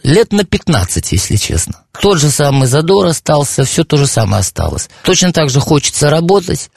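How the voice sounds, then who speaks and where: A man's voice: a little high, big pitch swings, brisk, creaky, a little mellow, no room sound, heavily acted. A man voicing a friendly, cheerful male alien puppet as a radio guest, chatting playfully in the studio. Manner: chatty, playful, self-mocking. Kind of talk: in-character radio chat. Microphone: studio mic.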